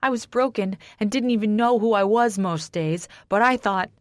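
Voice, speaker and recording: A woman's voice: medium pitch, normal speed, no echo, pitched above the performer's own voice, lightly acted. A woman narrator voicing a bitter, wounded, hot-tempered man in an audiobook, with intense delivery. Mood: broken, anguished.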